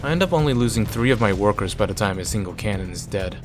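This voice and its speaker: Low-voiced man reading a game story. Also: expressive, fast, soft background music. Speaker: video creator reading a visual novel aloud. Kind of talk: narrating a visual novel with casual asides.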